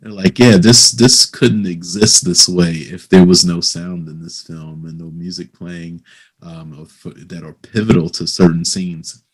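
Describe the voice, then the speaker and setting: A man's voice: low voice, fairly even intonation, a little slow, husky, rough recording. A man hosting a casual podcast interview, talking in a hesitant, rambling way and repeating phrases as he builds a thought.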